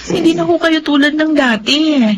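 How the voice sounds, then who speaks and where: An actress with a Filipino accent playing a struggling, persevering student and dutiful daughter in an audio drama. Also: female voice, high-pitched, a little fast, slight background noise, natural voice. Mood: worried, scolding. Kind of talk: Filipino radio-drama style acting.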